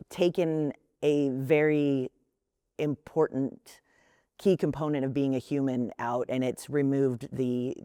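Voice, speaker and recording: A woman's voice: rumbling low, no echo, clean studio sound. Blunt woman in her fifties. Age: 50s. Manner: blunt, emphatic, with occasional swearing. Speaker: panel guest.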